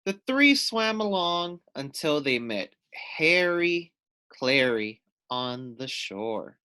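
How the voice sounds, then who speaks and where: A man reading a storybook aloud for children in an expressive, deliberate storytelling manner. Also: on the high side, clear, resonant tone. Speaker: storybook reader, teacher-like.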